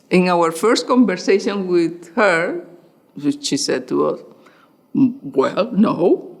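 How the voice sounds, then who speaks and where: A middle-aged woman from Venezuela with a Venezuelan Spanish accent, a native Spanish speaker, deliberately telling her family's story in an interview. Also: mid-range voice. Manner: deliberate, warm with laughter. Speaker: oral history interviewee. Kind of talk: personal storytelling.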